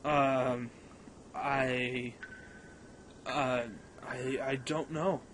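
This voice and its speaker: A man's voice: medium pitch, little pitch movement, drawn-out words, fairly bright tone, slight background noise. A man acting an anxious young mech pilot in a fan radio drama. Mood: dazed, hesitant.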